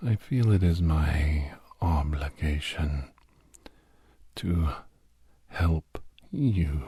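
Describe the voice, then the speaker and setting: Sinister captor doctor for ASMR drama: extremely low, slow, breathy half-whisper, menacingly courteous. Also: male voice, dark tone, a little hiss or hum, exaggerated voice. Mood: mock-polite menace. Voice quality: breathy, husky near-whisper. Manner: slow, drawn-out, mock-polite menace. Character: menacing villainous doctor, obsessed captor, coldly gloating.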